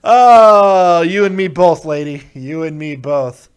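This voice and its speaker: Male call-in host, slightly high voice, brash and teasing, crude humor, laughs loudly. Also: expressive, slow, clean tone. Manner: loud, teasing, bursts of laughter. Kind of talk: crude joking call-in chat.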